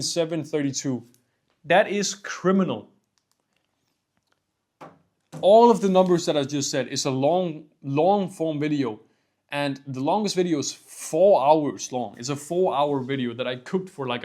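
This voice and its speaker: A man's voice: fairly high pitch, a little mellow. A young man from Denmark with a Danish accent, talking casually to camera in a rambling vlog, full of "like" and "bro" and swearing. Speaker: vlogger.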